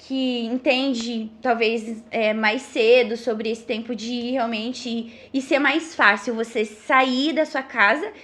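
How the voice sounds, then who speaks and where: A thirty-year-old Brazilian woman explaining things earnestly as an interview guest, pausing often and checking in with tag questions. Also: on the high side, steady tone, a bit bright. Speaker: podcast guest, missionary.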